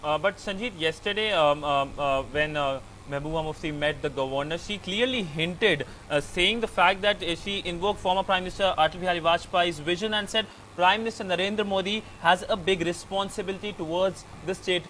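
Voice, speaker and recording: A man's voice: sits high, brisk, few pauses, fairly bright tone, a little hiss or hum, low-quality recording. A man with an Indian English accent anchoring the news and questioning a reporter live.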